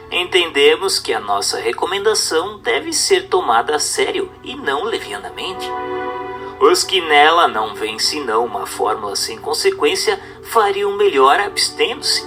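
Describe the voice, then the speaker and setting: A man's voice: on the high side, very animated, normal speed, over music. A man reading a religious text as scripted narration, in a formal, instructive and carefully phrased manner.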